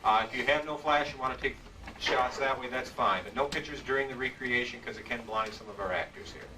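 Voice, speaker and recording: A man's voice: medium pitch, brisk. A man informally addressing an audience as event host on a convention stage, recorded from a distance. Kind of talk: informal spoken introduction to an audience. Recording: distant live room pickup, clear noise.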